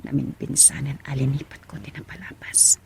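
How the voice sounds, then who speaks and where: A woman playing a lovesick schemer in hushed radio drama monologue. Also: very deep voice, steady tone, a little fast, creaky, whispered. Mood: brooding.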